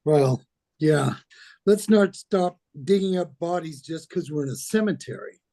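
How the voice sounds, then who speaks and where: A man reads as a worn police lieutenant in a horror drama. The voice is mellow and unforced, casual on top and on edge underneath. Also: fairly high pitch, lively intonation, unhurried. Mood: edgy, gruffly casual. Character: worn, edgy, nonchalant police lieutenant father. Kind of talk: script table read of a horror film.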